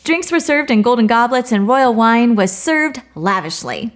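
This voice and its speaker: A woman's voice: a little high, lively intonation, moderate pace. A woman teaching a Bible study, speaking in an earnest, personal way with touches of light humor.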